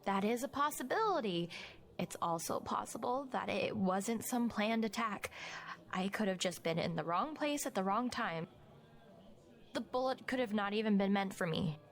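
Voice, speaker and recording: A woman's voice: mid-range voice, animated, moderate pace, lightly acted. An actress playing a composed, stubborn young woman journalist in naturalistic audio drama dialogue. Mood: composed, guarded.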